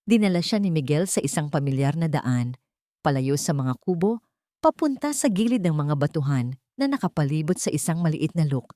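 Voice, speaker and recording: Woman, lowish voice, scripted dramatic storytelling, narrator, dry studio-like sound. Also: animated, moderate pace, mellow, no room sound.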